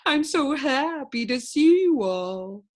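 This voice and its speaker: A woman playing a sugary, faceless gentleman. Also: high voice, lively intonation, pitched above the performer's own voice. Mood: sweet. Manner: slow, overly sweet and amiable. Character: faceless, polite, elegant gentleman.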